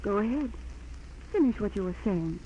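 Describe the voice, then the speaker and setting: A woman's voice: medium pitch, expressive, moderate pace, dark tone, natural voice. Casting: actress for straightforward young American woman engaged into money, persuasive, radio-drama polish, dull recording with faint noise. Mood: concerned, coaxing. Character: frank, coaxing young society fiancée. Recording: dull, noisy old 1940s radio recording.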